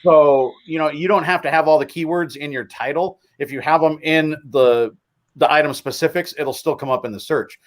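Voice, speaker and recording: A man co-hosting a casual livestream chat, explaining things at a relaxed pace with examples. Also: fairly high pitch, normal speed. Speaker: co-host.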